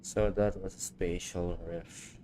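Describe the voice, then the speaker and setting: Low-voiced man streaming a game. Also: flat delivery, slow, mellow, slight background noise. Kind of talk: casual game commentary. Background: game music.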